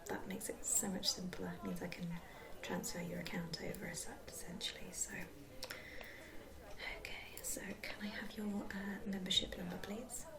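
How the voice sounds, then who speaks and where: A woman playing a friendly, helpful sports centre receptionist in a soft, unhurried whispered ASMR roleplay. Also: mid-range voice, level tone, vocal fry, natural voice. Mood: helpful, reassuring. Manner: soft-spoken, whispering, unhurried, hesitant fillers.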